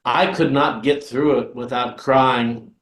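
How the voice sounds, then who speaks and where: An older man reflecting casually and personally in a halting way, restarting his phrases. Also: mid-range voice, fairly even intonation, a bit bright. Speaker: community theater writer and performer. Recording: echoey room.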